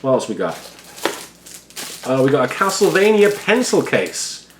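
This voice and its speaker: A hoarse, froggy-voiced man of middle pitch rambles through a casual unboxing, poking fun at himself. His voice bounces around an echoey room with a faint hum of ambience behind him. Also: sing-song, moderate pace. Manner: self-deprecating, rambling, quiet-natured. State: hoarse, froggy voice after studio work. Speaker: YouTuber.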